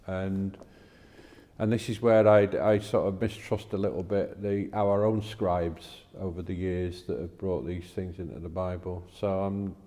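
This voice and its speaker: Mellow, low-voiced man, tentative. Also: in a large hall. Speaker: podcast co-host discussing the Bible. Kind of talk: casual discussion.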